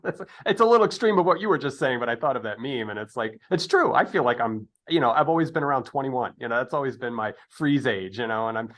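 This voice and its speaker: A man in his fifties hosting a reflective, casual interview, speaking haltingly as he searches for his words. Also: mid-range voice, expressive, fairly bright tone. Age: 50s. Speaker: interview host.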